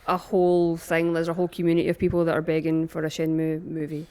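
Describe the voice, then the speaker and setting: Female podcast panellist, a touch low in pitch, informal, emphatic and opinionated. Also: completely flat delivery, fast talker, darkish tone, clear, resonant tone. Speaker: podcast panellist, game shop staff. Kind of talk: casual chat.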